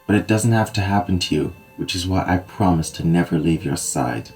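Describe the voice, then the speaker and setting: A man playing a grieving, loyal nobleman in an audio drama, steady and protective. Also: deep voice, brisk, faint music behind, close to an everyday voice. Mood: solemn.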